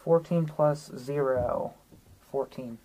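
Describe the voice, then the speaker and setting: A woman's voice: rumbling low, slow. A woman chatting casually as a player in a tabletop role-playing game. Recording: loud background noise, clicks.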